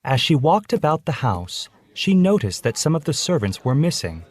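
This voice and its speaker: A man's voice: on the high side, sing-song, moderate pace. A man reading a scripted children's story as its narrator.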